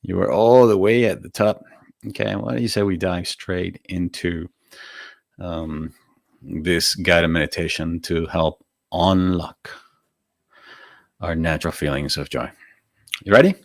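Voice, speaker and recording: A deep-voiced man with a Venezuelan accent talks viewers of a live stream toward a guided meditation. He sounds informal and gently encouraging, with friendly asides to his audience. The sound is dry and close, with no room echo. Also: fairly even intonation, measured pace, creaky, pauses often. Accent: Venezuelan Spanish. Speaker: meditation teacher hosting a live broadcast. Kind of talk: casual spiritual talk before a guided meditation.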